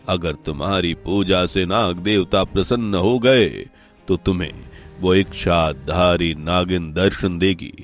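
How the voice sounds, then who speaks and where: Man putting on a divine holy sage, very low voice, stern, solemn, judging, cartoon story, faint music. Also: fairly even intonation, measured pace. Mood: solemn instruction. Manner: solemn, pronouncing judgement. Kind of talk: Hindi cartoon story dialogue.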